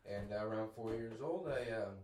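A man's voice: lowish voice, normal speed, a little mellow, roomy sound, slight background noise. A man in his late twenties from Peru, a podcast guest chatting casually in an easygoing way and laughing often. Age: late 20s. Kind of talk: casual chat.

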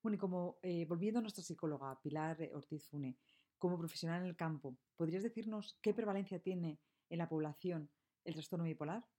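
A woman's voice: lowish voice, brisk, warm tone. A woman interviewer who asks questions and rephrases them as she goes.

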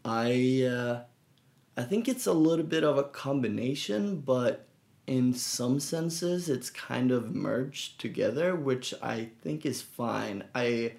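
A man from Sweden with a Swedish accent, a guest speaking in a relaxed, thoughtful way with many fillers and restarts in a casual interview. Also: mid-range voice, vocal fry. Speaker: guest photographer.

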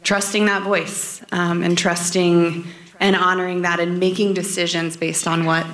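A woman who directs a nonprofit, giving short remarks at a forum in an earnest, measured way with some fillers. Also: on the low side, level tone, fairly bright tone, reverberant.

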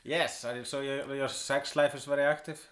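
A young Icelandic man with an Icelandic accent, co-hosting a comedy podcast in deadpan, joking chat. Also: mid-range voice, moderate pace, crowd noise. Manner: deadpan, jokey, lively sing-song bits.

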